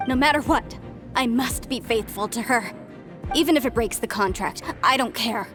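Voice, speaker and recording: A woman's voice: a little high, normal speed, close to an everyday voice. A woman voicing a young, zealous devotee in a video game, talking in an earnest, emotional and insistent way. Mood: defiant. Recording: game audio with faint music.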